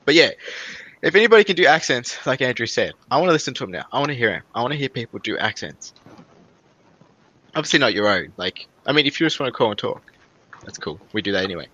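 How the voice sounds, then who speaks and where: A man's voice: medium pitch, sing-song, fast talker, sharp tone, no echo, rough recording. A young Australian man with an Australian accent, co-hosting a podcast in a laid-back casual chat and laughing easily. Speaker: podcast co-host. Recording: faint background hiss.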